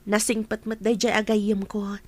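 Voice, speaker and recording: A woman acting a gentle young sweetheart in an Ilocano radio drama. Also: mid-range voice, flat delivery, moderate pace, natural voice. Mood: affectionate, chatty.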